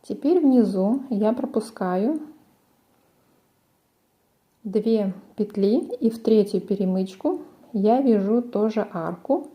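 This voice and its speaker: A woman's voice: mid-range voice, slow pace, long pauses, dark tone. A woman presenting a crochet tutorial, explaining each step in a methodical way. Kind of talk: step-by-step craft instruction.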